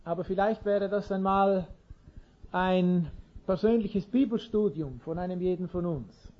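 A man's voice: high-pitched, a little slow, lo-fi sound. A man preaching an informal sermon in an earnest way, chuckling now and then and restarting sentences.

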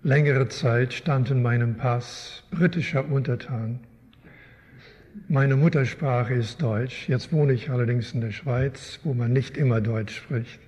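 An older man from Canada, a guest Bible teacher, answering interview questions in a slow, thoughtful, wry way. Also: medium pitch, darkish tone. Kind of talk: live interview in a church. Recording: long hall reverb.

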